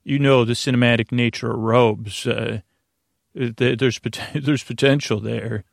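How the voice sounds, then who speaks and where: A man hosting a bedtime podcast in a rambling monologue, halting and interrupting himself with stammered restarts, his voice creaky. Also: mid-range voice, steady tone, no echo, studio quality. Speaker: podcast host.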